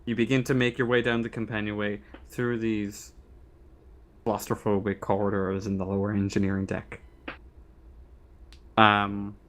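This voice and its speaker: A man acting as game master, improvising narration for a tabletop roleplaying game in halting, repeated phrases. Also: lowish voice, a bit bright.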